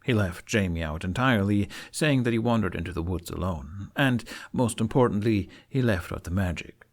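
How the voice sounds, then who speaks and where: Guy with a low voice reading a fantasy story out loud, audiobook narration. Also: moderate pace. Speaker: narrator reading fan fiction aloud.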